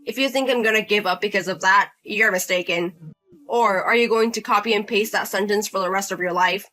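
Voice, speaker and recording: A woman reads the part of a condescending older brother-in-law in his thirties who scolds, demands and talks down to everyone. The bright voice sits at an ordinary pitch and keeps a sneering, bossy edge throughout the drama story. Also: fairly quick, natural voice. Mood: contemptuous. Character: entitled bullying older brother-in-law, man in his 30s.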